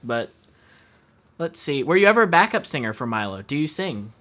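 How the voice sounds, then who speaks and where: Bright-voiced man hosting a stream. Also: a little high, very animated, brisk. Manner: friendly, reads out viewer questions. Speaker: host. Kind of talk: casual live stream interview.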